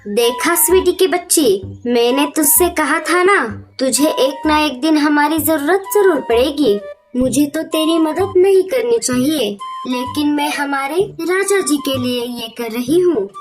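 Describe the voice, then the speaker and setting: A woman's voice: high voice, animated, unhurried, soft background music. A woman voicing a feisty, sassy bird auntie who scolds, in a children's cartoon. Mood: smug. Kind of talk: Hindi children's cartoon dialogue.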